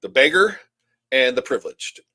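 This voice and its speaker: A man's voice: medium pitch, moderate pace. A middle-aged man from the United States co-hosting a podcast, talking bluntly and animatedly in casual opinionated chat. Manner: blunt, animated, acts out whiny quotes. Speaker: podcast co-host.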